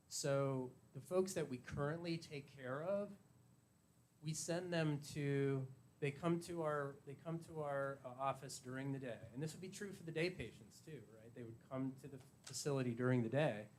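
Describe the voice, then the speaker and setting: Brief: man, halting and full of fillers, explaining policy, faint background noise. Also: mid-range voice, a little mellow. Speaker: addiction treatment provider representative. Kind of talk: public meeting testimony.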